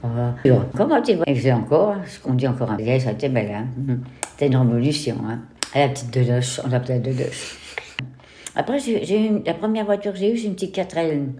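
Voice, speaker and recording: A woman's voice: rumbling low, expressive, fairly quick, warm tone, a little hiss or hum. An older woman, a retirement home resident, rambling animatedly about her life and often adding "hein". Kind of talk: recalling memories in an interview. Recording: roomy with music underneath.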